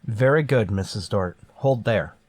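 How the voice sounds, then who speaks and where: A man playing a stern, wary starship captain in a sci-fi radio drama, giving curt orders with measured authority. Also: fairly low pitch, a little mellow, no room sound. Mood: commanding. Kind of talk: golden age sci-fi radio drama acting.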